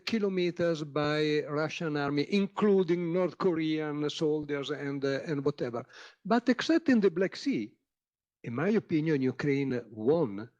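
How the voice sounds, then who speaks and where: Halting man, dull audio. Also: on the high side, darkish tone. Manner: halting, many filler sounds. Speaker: panel moderator. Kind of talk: conference panel introduction.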